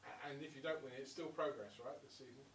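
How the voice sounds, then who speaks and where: A sports reporter asks friendly, leading questions at a press conference, his voice at an everyday pitch. He is heard from across an echoing room, away from the mic, with faint background noise. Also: fairly even intonation, fast talker. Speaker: radio sports journalist. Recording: roomy press room from a distance.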